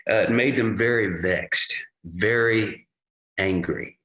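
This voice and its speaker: Man acting a slow, surly backwoods farmer. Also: lowish voice. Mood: guarded, surly. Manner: guarded, hesitant, folksy rustic grammar. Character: surly, evasive backwoods Texan farmer and hunter. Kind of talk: audio drama acting. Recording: echoey room with faint noise.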